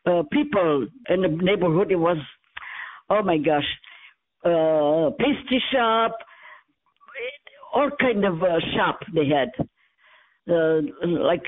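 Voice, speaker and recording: A woman in her nineties, born in France and speaking, her Armenian, reminiscing haltingly and often searching for words. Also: on the low side. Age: 90s. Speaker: interviewee. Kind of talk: reminiscing in casual conversation. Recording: tinny phone-like line, dry.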